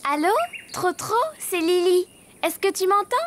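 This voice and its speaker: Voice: extremely high pitch, fairly even intonation, unhurried, a bit bright, slight background noise. A performer voicing a playful little donkey girl in a preschool cartoon.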